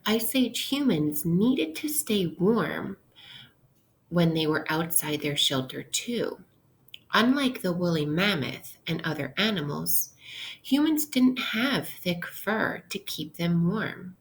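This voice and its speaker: A woman teacher reading aloud an interactive lesson for young children, instructional and pausing to pose questions to her listeners. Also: fairly low pitch, expressive, rough recording.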